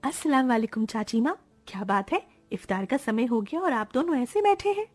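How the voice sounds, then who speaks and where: A woman voicing a caring young woman of a family in a cartoon story, earnest and expressive. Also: on the high side, fairly quick, no room sound, faint music behind. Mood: caring, insistent. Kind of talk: Hindi cartoon story voice acting.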